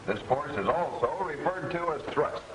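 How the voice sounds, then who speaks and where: An American man narrating a scripted 1940s instructional film in a clear, didactic manner with measured emphasis, like an instructor. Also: fairly high pitch, expressive. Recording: noisy 1940s film soundtrack, distant.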